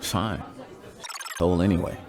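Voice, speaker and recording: An actor playing an arrogant, loudmouth star pilot in a sci-fi radio drama, boastful and sneering. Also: male voice, deep voice, fairly even intonation, a little slow, some chatter behind. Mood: bitter.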